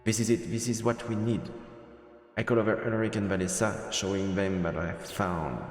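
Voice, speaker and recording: Guy reading visual novel narration on stream, lowish voice, echoey with music behind. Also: level tone, moderate pace. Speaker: let's play streamer. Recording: roomy reverberant sound, music behind.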